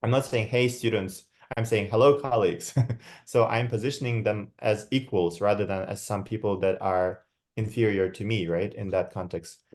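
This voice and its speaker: A man's voice: lowish voice, steady tone, darkish tone. Young man, lecturing. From Kazakhstan. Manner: checks in with frequent tag questions; measured, reflective, with many fillers. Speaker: guest lecturer. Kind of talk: academic talk walking through slides.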